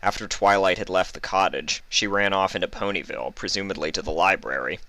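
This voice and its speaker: Low-voiced, bright man reading a story. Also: brisk, dry recording. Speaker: fan fiction reader. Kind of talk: scripted story narration.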